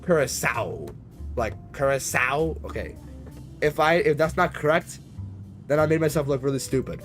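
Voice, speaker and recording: A man's voice: a little high, normal speed, a bit bright, faint music behind. A man chatting casually as he live-streams a flight simulator, in a rambling, slangy, conversational way. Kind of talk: casual live-stream chat while gaming.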